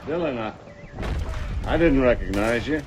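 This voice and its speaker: An American man playing a shifty, defensive gunslinger with a Western accent and a halting manner in an old radio drama. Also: medium pitch, animated, a little hiss or hum, natural voice. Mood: uneasy. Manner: halting, defensive, faintly menacing. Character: shifty hired gunslinger, grudging, falsely conciliatory grown man.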